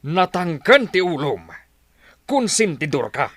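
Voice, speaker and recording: A man's voice: a little high, big pitch swings, slow pace, a bit bright, no echo, studio quality, exaggerated voice. A man playing a stern, scornful middle-aged husband and father in an Ilocano radio drama. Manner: scolding, scornful.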